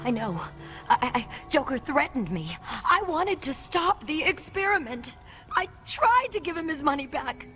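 A woman's voice: high voice, sing-song, moderate pace, bright, forceful tone, soft background music, heavily acted. An actress playing a frightened female doctor held hostage in a video game, frantic and pleading. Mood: shaken.

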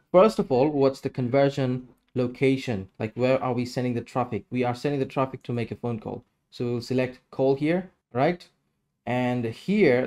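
A man's voice: medium pitch, a little mellow. A man in his thirties giving a casual screen-share tutorial, explaining each step as he goes. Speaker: tutorial presenter.

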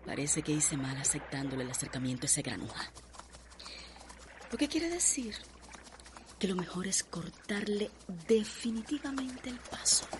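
A Cuban actress playing a proud, restless young heiress in a radio drama, haughty and measured. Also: female voice, fairly low pitch, big pitch swings, background noise. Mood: brooding.

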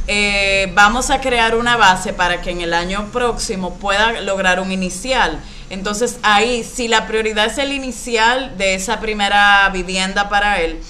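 Female finance coach, thirties. Crisp forward tone, mid pitch, instructive delivery, some room noise. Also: pushed and bright. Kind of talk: explanatory advice to camera. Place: small indoor room.

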